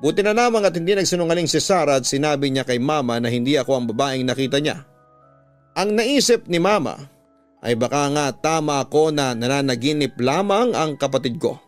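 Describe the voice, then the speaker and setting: A man's voice: on the high side, animated, a little fast. Filipino man narrating a story over music. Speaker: radio drama host narrating listener letters. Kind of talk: dramatic first-person scripted story narration. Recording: music bed behind the voice. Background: background music.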